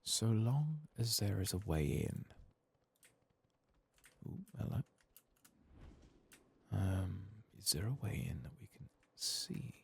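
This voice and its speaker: Man, deep gravelly murmur, slow pace, ASMR gaming commentary, drifting into whispers. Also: big pitch swings. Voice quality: soft-spoken, often dropping to a whisper. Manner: unhurried, murmured musing to himself. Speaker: gaming streamer, ASMR channel host. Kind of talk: soft-spoken ASMR game commentary.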